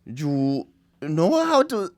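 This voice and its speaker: A woman acting a frightened, hostile female doctor in a radio drama, halting and dramatic. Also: low voice, very animated, a little mellow, heavily acted.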